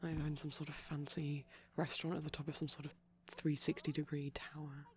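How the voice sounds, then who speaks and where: A person playing a shy, gently geeky boyfriend in soft, breathy ASMR, rambling and explaining over a movie and often dropping into a whisper, with a creaky edge. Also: mid-range voice, little pitch movement, a little fast. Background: TV playing in the background.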